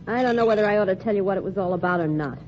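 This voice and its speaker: A woman's voice: medium pitch, very fast, darkish tone, lightly acted. Woman doing a hard-boiled slangy nightclub singer, brassy street talk, muffled old radio sound, faint hiss. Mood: defensive. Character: tough slangy young female nightclub singer. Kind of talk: 1940s radio acting.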